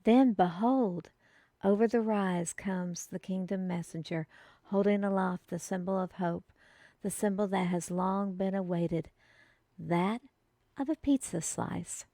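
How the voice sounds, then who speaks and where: A woman narrates a scripted tale in a measured storytelling manner. She takes her time with each phrase, and the recording is very dry with no room sound. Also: medium pitch, vocal fry, warm tone, no room sound.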